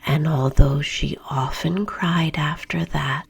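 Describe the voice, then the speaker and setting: A woman whispers a bedtime story in a slow, unhurried murmur. Her voice is low, gravelly and very breathy, meant to lull a listener to sleep. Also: fairly even intonation. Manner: soft unvoiced whisper throughout; slow, soothing, lingering on repeated words.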